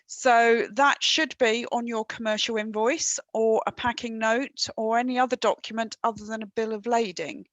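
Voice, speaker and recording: A woman's voice: on the high side, steady tone, normal speed, hardly any pauses, no room sound, muffled recording. A woman presenting on trade and customs rules in a webinar over a headset. She talks conversationally, with frequent ums and dry, wry asides.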